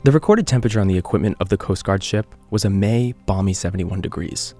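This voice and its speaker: A man playing an earnest island radio host, narrating an eerie mystery in a measured, conversational podcast style. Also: sits low, dark tone, faint music behind, natural voice. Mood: grave, intrigued. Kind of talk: scripted audio drama narration, confessional podcast.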